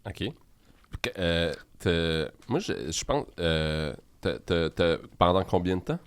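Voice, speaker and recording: A man co-hosting a comedic interview, asking mock-serious questions in a halting way. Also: low voice, expressive, clear, resonant tone. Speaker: co-host.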